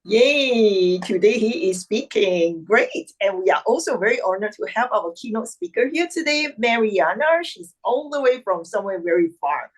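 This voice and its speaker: A woman's voice: medium pitch, lively intonation, normal speed, few pauses, poor audio. A woman hosting an online club meeting over a video call, introducing guests in an upbeat, exclamatory way.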